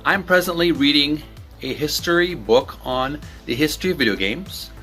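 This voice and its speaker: A man reading a book aloud for his video channel in an emphatic, deliberate way, occasionally stumbling over words. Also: a little high, fairly bright tone, faint music behind.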